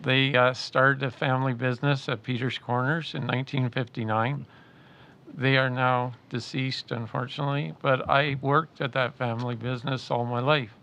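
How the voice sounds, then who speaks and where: A man's voice: mid-range voice, fairly even intonation, moderate pace, fairly bright tone, low-quality recording. A man in his sixties, introducing himself as a local election candidate in a plain, conversational way with some hesitations. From Canada. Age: 60s. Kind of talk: unscripted self-introduction.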